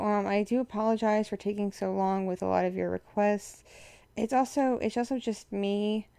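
A young woman with a froggy, hoarse voice from an infection, giving a rambling, frank update to her supporters. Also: mid-range voice, dark tone, dry recording. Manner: rambling, frank, many fillers. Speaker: content creator addressing patrons.

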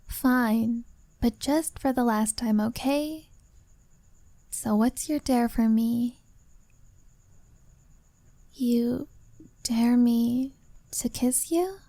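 A woman voicing a shy young woman who confesses her feelings to a younger friend, speaking softly and often in a whisper. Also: a little high, vocal fry, no echo, full character voice. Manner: soft, hesitant, often whispered. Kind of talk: ASMR-style roleplay monologue.

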